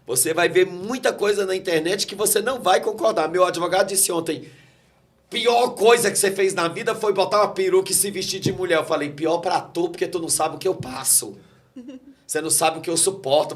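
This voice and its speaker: A man's voice: high-pitched, a little fast. A man, a guest pastor giving an emotional testimony and quoting scripture with preacherly fervor. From Brazil. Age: 40s. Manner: fervent, preacherly, quotes scripture. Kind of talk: emotional testimony in a talk interview.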